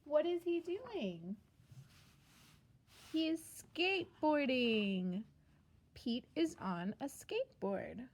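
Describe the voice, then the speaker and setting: A woman preschool teacher reading a picture book aloud to young children in simple, sing-song phrases, pausing after questions. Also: high-pitched, very animated. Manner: simple short phrases with deliberate pauses for answers.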